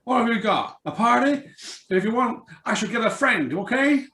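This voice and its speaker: An actor playing a jolly, muddled drunken neighbour in a radio play, with slurred, rambling speech. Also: male voice, very high voice, lively intonation, moderate pace, bright, forceful tone, full character voice. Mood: drunk, jovial. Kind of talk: radio drama reading. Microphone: video call. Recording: roomy, echoing home video-call sound.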